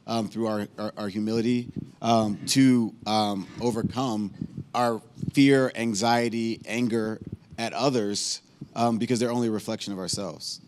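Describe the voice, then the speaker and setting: A man speaking as a panelist at a town hall, earnest and halting, stumbling over repeated words. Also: fairly low pitch, a little mellow.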